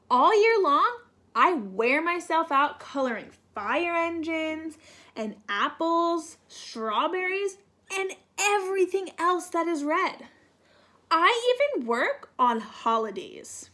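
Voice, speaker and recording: A woman's voice: high voice, bright, forceful tone, pitched above the performer's own voice, full character voice. A woman voicing an overworked, worn-out red crayon that complains emphatically in a children's picture book.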